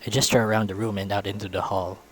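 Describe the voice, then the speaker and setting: A man's voice: fairly low pitch, flat delivery, fast, rough recording. A man reading visual novel narration aloud in a storytelling style. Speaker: visual novel narrator, let's-play reader.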